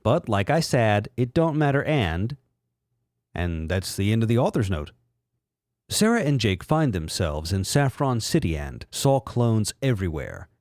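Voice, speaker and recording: Guy doing sarcastic dramatic reading, super swoopy pitch, dry close sound. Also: very animated, a little slow, darkish tone, no room sound. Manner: sardonic, theatrical. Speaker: reader and commentator. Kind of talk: mock-dramatic narration.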